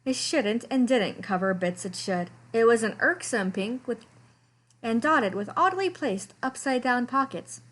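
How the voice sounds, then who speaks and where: A woman reading a children's story aloud in an animated, expressive way, with dramatic emphasis on descriptive words. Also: a little high, measured pace. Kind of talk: expressive picture-book read-aloud.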